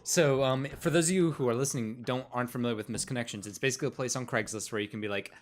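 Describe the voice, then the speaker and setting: A man tells a story on a relaxed podcast. His pitch sits in the middle of the male range, and he rambles easily, with frequent laughs. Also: racing. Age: 20s. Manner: loose storytelling, laughs a lot. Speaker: podcast co-host. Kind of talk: casual podcast chat.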